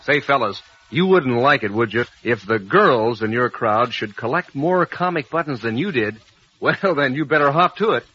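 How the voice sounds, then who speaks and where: A man giving a folksy 1940s radio advertising pitch. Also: expressive, normal speed, sharp tone, a little hiss or hum. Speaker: announcer reading a cereal advert. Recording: muffled lo-fi old radio recording.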